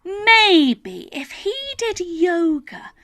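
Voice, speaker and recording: A woman reading a children's bedtime story expressively, with dramatic pauses and emphasis. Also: extremely high pitch, lively intonation, pushed and bright.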